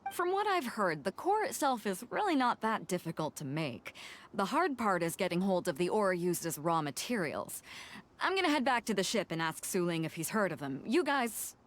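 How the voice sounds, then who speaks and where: A woman as a breezy, animated lady sea captain. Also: mid-range voice, moderate pace, lightly acted. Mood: confident. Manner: casual, hearty, colloquial. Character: breezy, bold female sea captain, hearty and sociable. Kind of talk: video game voice acting.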